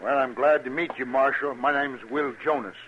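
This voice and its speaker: A plain-spoken American frontier trader acted by a man, gruff, brisk and businesslike at a middling pitch. The vintage radio western recording is dull with a faint hiss. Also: fairly even intonation, natural voice. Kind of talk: 1950s western radio acting.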